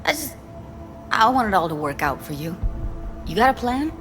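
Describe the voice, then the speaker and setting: An actress playing a sincere, caring young woman in a video game, earnest and halting in her speech. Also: mid-range voice, very animated, fairly bright tone, faint music behind. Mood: anxious, earnest. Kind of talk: naturalistic video game acting.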